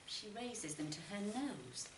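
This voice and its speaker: A woman reading literary fiction aloud as an expressive narrator, with deliberate phrasing and dramatic pauses. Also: mid-range voice. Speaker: short story reader. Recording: roomy, distant mic, faint noise.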